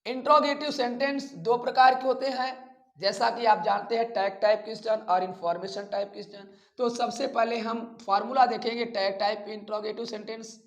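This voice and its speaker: A man with an Indian accent, a grammar teacher explaining step by step in a deliberate way, repeating phrases and addressing his students directly. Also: extremely high pitch, steady tone. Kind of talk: instructional lesson, explaining step by step. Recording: echoey room.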